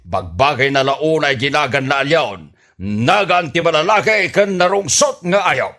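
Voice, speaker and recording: Blustery old Filipino soldier father, animated. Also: on the high side, unhurried. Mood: bossy, proud. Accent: Ilocano. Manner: emphatic, bossy. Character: strict, blustery retired soldier father, older man. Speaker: radio drama actor. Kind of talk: Ilocano radio comedy drama acting.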